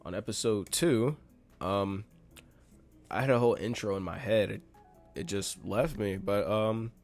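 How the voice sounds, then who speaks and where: A man with a congested, slightly nasal voice talks through his own commentary show, rambling and restarting, then leaning hard on a point. His voice sits fairly low. Faint music plays under him. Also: measured pace. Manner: rambling, restarts, animated emphasis. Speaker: host. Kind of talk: casual solo commentary.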